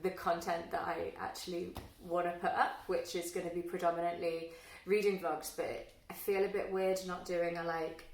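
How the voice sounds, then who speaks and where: Wry woman in an echoey room. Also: lowish voice. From London, England. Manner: self-deprecating, wry, many fillers. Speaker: book vlogger. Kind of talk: casual self-introduction to camera. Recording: echoey room, a little far from the mic.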